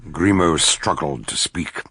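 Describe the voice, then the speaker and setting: An actor playing an older, eccentric scholarly detective in a radio drama, telling the story in a theatrical, deliberate way with a gravelly voice. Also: male voice, very deep voice, sharp tone, no echo, heavily acted. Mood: grave, brooding. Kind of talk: radio drama narration and dialogue.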